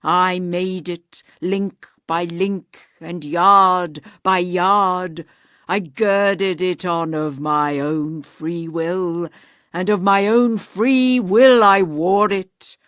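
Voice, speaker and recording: A woman voicing a doomed, chained male ghost who speaks slowly and gravely in a mournful lament. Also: fairly bright tone, no room sound, clean studio sound. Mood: solemn. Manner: slow, grave, booming lament. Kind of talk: storybook reading.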